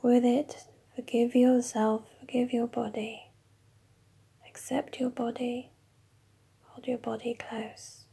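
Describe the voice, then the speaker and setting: A woman leading a guided meditation in a slow, soft, mostly whispered voice. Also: a little high, level tone, creaky, slightly rough.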